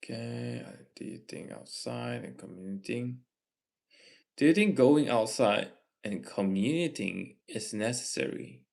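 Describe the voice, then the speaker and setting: A young man from Malaysia hosting a casual livestream, reading viewer comments and giving earnest advice in a slow, halting way. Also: mid-range voice, animated, vocal fry, darkish tone. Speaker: livestream host.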